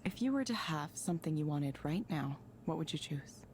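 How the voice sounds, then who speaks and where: Casting: composed counseling proxy for a visual novel, clinical, formal, lowish pitch, faint noise behind. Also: female voice, lively intonation, darkish tone. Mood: clinical calm. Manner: measured, neutral, formal. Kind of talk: video game voice acting, scripted counseling.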